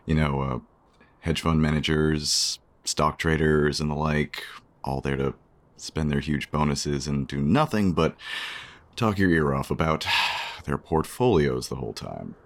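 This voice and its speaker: Man playing a low-voiced, shy, awkward guy. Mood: wry, casual. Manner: hesitant, halting, with sheepish chuckles. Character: shy, awkward, self-conscious man on a winter evening. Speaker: voice actor in a romance audio play. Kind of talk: naturalistic radio play dialogue.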